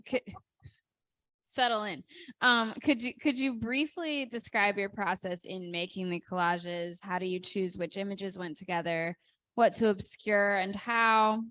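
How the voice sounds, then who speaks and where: A woman's voice: mid-range voice, unhurried, fairly bright tone, no room sound. Woman moderator reading out questions. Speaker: library event moderator.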